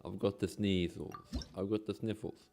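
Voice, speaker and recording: Low-voiced sick man mumbling through a stream. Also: fairly even intonation, moderate pace, mellow, faint music behind. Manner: mumbly, half to himself, sing-song repeats. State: sick with a cold, coughing and sniffling. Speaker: game streamer. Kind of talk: casual gameplay stream, reading game text aloud.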